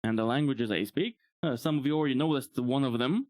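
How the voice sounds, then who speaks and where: A man's voice: mid-range voice, fast, a little mellow, dry recording. A young man from Bulgaria, a native Bulgarian speaker, chatting casually on a live stream, rambling and chuckling often. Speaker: VTuber streamer.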